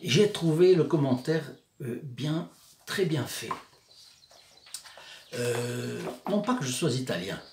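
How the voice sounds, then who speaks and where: A man's voice: mid-range voice, expressive, slow pace, long pauses, noisy background. A man with a Provençal accent preaching and retelling a story, digressive and hesitant, often repeating words. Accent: Provençal southern French. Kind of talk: sermon storytelling. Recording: echoey room.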